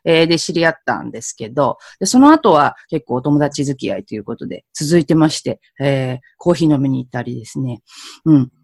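Lively woman hosting a chat. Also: low voice, very fast, gravelly, husky, dry recording, clean studio sound. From Japan. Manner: animated, chatty, laughs often. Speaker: podcast host. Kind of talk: casual interview chat.

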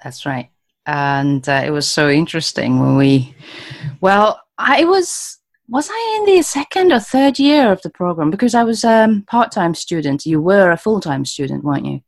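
A woman hosts a relaxed interview, chatty and quick to laugh. Her voice sits a little low yet swings widely up and down as she talks. The sound is dry and close, with no room around it. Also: dry recording. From Japan. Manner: animated, chatty, laughs often. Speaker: podcast host. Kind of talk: casual interview chat.

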